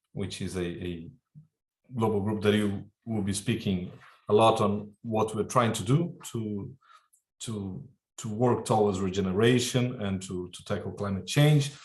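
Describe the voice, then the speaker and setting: A man's voice: fairly low pitch, roomy sound. A Brazilian man, a native Portuguese speaker working as a sustainability executive, introduces himself earnestly and repeats words while he searches for them. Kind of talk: roundtable introduction.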